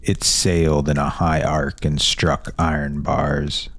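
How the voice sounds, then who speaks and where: Very deep-voiced man narrating dark fantasy. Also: no echo. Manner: measured, descriptive, dramatic. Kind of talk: scripted fantasy audiobook narration.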